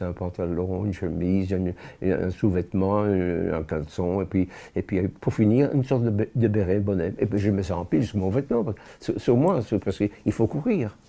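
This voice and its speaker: An elderly man speaks in a low, very dark and mellow voice. He recounts slowly and gravely, often pausing and restarting his sentences as he searches for words. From born in France. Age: 80s. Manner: halting, with frequent restarts and pauses. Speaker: witness giving testimony. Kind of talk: spoken personal testimony.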